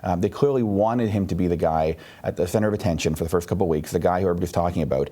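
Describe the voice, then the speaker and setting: A low-pitched man speaking very fast as he analyses the polls, hedging often and filling pauses with "uh". His tone is dark and mellow. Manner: rapid, hedging, many fillers. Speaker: political columnist. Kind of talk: analytical interview answers.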